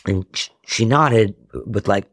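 A man in his forties from Rhode Island, a podcast guest telling candid stories, acting out family dialogue in lively bursts. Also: on the low side, slow, no room sound, studio quality. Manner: animated, acts out quoted family dialogue. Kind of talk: candid storytelling in conversation.

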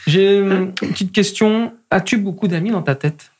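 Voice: mid-range voice, normal speed, a little mellow, slight background noise. An interviewer asking questions in a casual, playful way.

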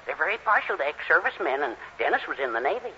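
A man's voice: fairly high pitch, big pitch swings, very fast, sharp tone, exaggerated voice. An actor playing a meek, henpecked middle-aged husband and father in a 1940s radio sitcom, fussy and eager to placate. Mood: genial. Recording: noisy, dull 1940s radio recording.

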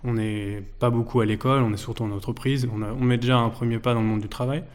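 A man's voice: mid-range voice, fairly even intonation, fast. Young man, hesitant and searching. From France. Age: 20s. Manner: hesitant, many restarts and fillers. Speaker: guest. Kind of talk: casual interview.

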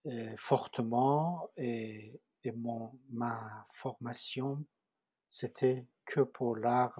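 A man from Iran with a Persian accent, giving a personal testimony in an interview and often pausing to search for French words. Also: mid-range voice, mellow, husky, no room sound.

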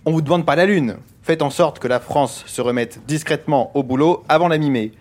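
An actor playing a bossy, blustering government minister in a satirical audio drama, talking in pompous slang. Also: male voice, fairly high pitch, a little fast, close to an everyday voice. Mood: exasperated, threatening. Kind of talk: French satirical audio fiction acting.

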